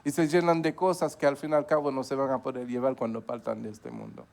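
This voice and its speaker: A man preaching a sermon, punctuating his points with amens, rising in emphasis and then dropping low. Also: animated, quick pace, vocal fry, a little mellow. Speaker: pastor.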